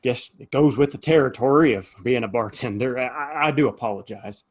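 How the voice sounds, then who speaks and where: A man's voice: mid-range voice, fairly quick, lightly acted. Man playing earnest, hesitant Western bartender. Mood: friendly, apologetic. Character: decent, apologetic saloon bartender in Old West. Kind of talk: Western audio drama acting.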